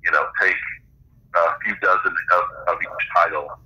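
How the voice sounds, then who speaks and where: A man being interviewed as an author, explaining things conversationally with halting pauses over a dull, band-limited line. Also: low-pitched, steady tone, pushed and bright, husky.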